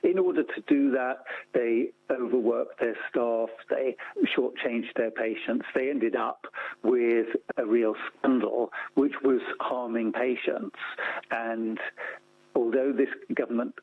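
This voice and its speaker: A man speaks over a narrow, phone-like connection with very little room sound. His voice is creaky and rough-edged. He explains things as an interview guest, measured, repeating key words for emphasis. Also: medium pitch, steady tone, no echo. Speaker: interview guest, policy campaigner. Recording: thin phone-like line.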